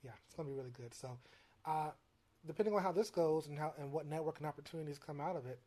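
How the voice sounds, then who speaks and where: Soft-spoken man, hesitant. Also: on the high side, fast. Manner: hesitant, many fillers and restarts. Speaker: music producer guest. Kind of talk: casual interview.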